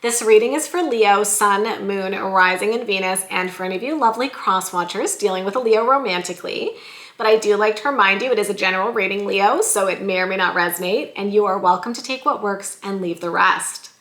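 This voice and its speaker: A woman giving a weekly tarot love reading in a chatty, lively way, her voice going a little hoarse. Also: mid-range voice, a little fast, reverberant. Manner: chatty, lively, says "like" and "you guys" often. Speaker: tarot reader.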